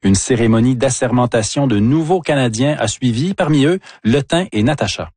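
Male bulletin presenter, husky, scripted delivery, dry sound. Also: mid-range voice, expressive, moderate pace, a little mellow, no echo. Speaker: regional news bulletin presenter. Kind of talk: scripted news reading.

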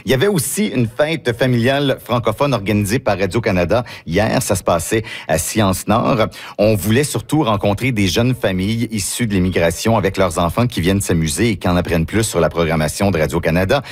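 A man's voice: on the low side, fairly quick. A man who is a radio reporter, presenting a news report and asking friendly, encouraging questions. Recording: music in background.

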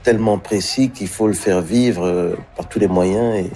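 A man giving a reflective, spontaneous interview, halting as he searches for his words. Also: fairly low pitch, mellow. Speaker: interviewed guest.

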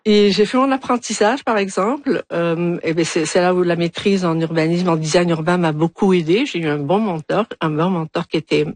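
A woman's voice: lowish voice, fairly quick, few pauses, darkish tone, no room sound. Middle-aged woman from algeria, hesitant, repeats words, dry close sound. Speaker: urban planner and architect. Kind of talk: interview answers.

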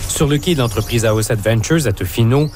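A man narrating a news report in a measured, even way. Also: medium pitch, background noise. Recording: voice-over with music behind. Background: music.

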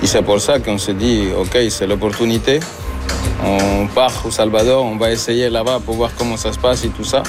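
A man interviewed outdoors for a field report, talking casually in a relaxed way. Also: on the low side, moderate pace, over music.

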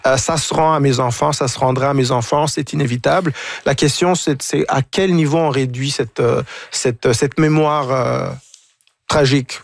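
A man, a guest on a talk show, speaking reflectively and haltingly, often repeating words as he searches for them. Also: medium pitch, fairly even intonation, gravelly, dry recording, clean studio sound. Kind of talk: reflective conversation.

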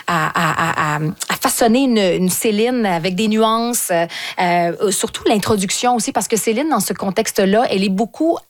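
A woman with a Québécois French accent talks through an interview in a lively, halting way. She stumbles and restarts her sentences often, leaning on fillers as she goes. Her voice sits at an ordinary middle pitch for a woman. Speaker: performer being interviewed. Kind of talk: radio interview.

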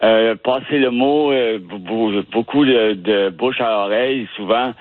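A man from the Ottawa region with a Canadian French accent, a guest who talks haltingly and restarts words as he searches for them. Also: lowish voice, no room sound. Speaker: interview guest. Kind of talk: casual phone interview. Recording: tinny dry phone line.

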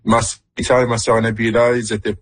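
A man, a guest in an interview, talking haltingly with many fillers. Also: mid-range voice, little pitch movement, a bit bright, husky, no echo. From Morocco. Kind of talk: radio interview.

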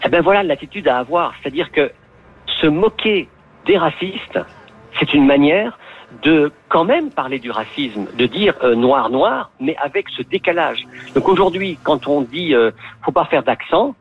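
A man arguing a point about comedy, animated and emphatic. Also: a little high, unhurried, husky. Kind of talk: animated argument. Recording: tinny phone-like line with music.

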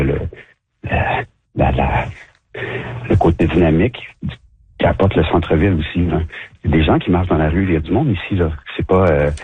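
A very deep, husky Quebec French man, a shop owner from Montreal, answers a radio host's questions. He talks haltingly, restarting and filling pauses as he goes. The sound is dry and narrow, like a phone line. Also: no echo. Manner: hesitant, many restarts and fillers. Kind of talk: radio interview guest.

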